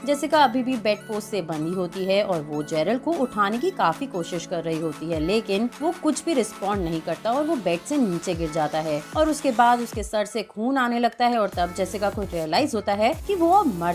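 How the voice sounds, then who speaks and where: A woman narrating a movie recap in a conversational way, mixing in English phrases. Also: mid-range voice.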